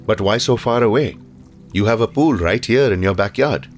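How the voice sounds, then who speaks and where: An actor playing a caring family doctor in an audio drama, measured, gentle and a little formal. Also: male voice, on the low side, faint music behind, lightly acted. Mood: gentle, attentive. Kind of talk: naturalistic audio drama acting.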